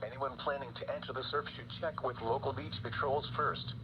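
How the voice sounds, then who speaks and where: A synthetic man's voice comes through heavy radio noise, dull and lo-fi. It reads forecast copy as an automated announcer, formal and measured. The pitch sits in an ordinary middle register for a man. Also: steady tone. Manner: formal, impersonal, evenly measured. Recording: noisy, dull weather radio reception.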